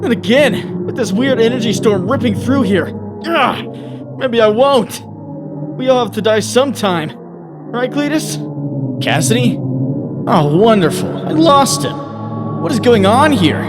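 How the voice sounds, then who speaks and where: Comic-dub hero: male actor as youthful, exasperated quipster, high lively voice over loud music. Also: measured pace, long pauses. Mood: alarmed, sardonic. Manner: exasperated, sardonic, animated. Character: weary, wisecracking young male superhero.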